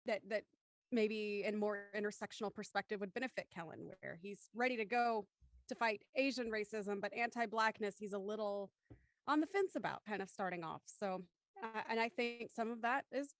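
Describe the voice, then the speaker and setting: A woman's voice: medium pitch, normal speed, no room sound. A woman hosting a panel discussion, talking conversationally as she moderates. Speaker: host of a cast discussion.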